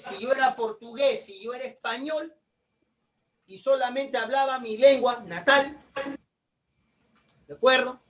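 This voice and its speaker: A man's voice: very high voice, far from the mic, low-quality recording. A man lecturing a class, asking questions and answering them himself. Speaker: teacher.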